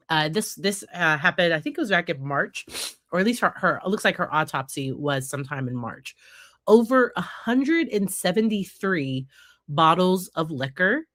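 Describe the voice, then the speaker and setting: A woman's voice: sits low, fairly bright tone, no room sound, studio quality. A woman psychologist hosting a casual commentary on news cases, congested and nasal from a head cold, talking conversationally with frequent fillers. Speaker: psychologist host.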